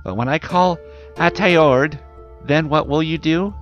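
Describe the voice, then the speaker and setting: A man's voice: fairly high pitch, voice pushed up, natural voice. A man voicing an anxious, firm but loving pioneer mother giving careful instructions in a storybook. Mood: urgent, firm. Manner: urgent, emphatic, deliberate instructions. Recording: muffled recording with music behind.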